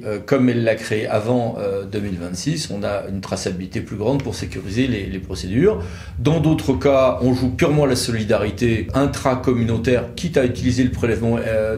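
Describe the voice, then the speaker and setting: A man's voice: on the low side, brisk, darkish tone. Man explaining law in an echoey room. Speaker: legal expert presenter. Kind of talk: conversational legal explainer. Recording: echoey room with light noise.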